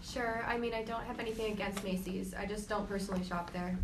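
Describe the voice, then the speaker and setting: 22 yo woman, talks fast and matter of fact, echoey far-off room sound. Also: medium pitch, steady tone. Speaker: focus group participant. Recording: echoey room, distant noisy mic.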